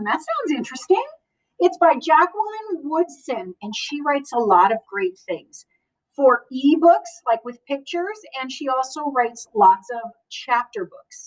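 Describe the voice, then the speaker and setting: A woman with a high voice reads a picture book aloud in an expressive way. She speaks directly to children like a school librarian, adding short asides. The microphone sits some distance from her.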